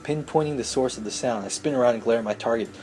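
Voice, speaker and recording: Mellow-voiced man narrating a game playthrough. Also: mid-range voice, fast talker, faint music behind. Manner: irreverent, sweary. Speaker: let's play host. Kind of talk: reading visual novel aloud with casual commentary.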